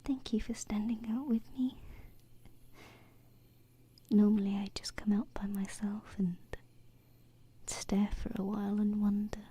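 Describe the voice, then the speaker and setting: A woman voicing a gentle, wistful alien princess in a soft, hesitant whisper for an ASMR roleplay, close to a binaural mic. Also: medium pitch, little pitch movement, slightly rough. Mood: shy, musing. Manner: hesitant, tender, slow pauses. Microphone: close binaural microphone.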